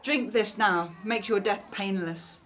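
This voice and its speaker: A woman's voice: medium pitch, takes it slowly, fairly bright tone. Woman acting an imperious, ruthless queen. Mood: cold, commanding. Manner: stately, formal, commanding delivery. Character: imperious, ruthless scheming Egyptian queen, first royal wife. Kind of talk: audio drama acting.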